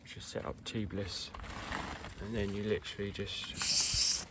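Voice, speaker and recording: A British man giving a casual hands-on product review in an informal, halting way. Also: lowish voice, level tone, noisy background.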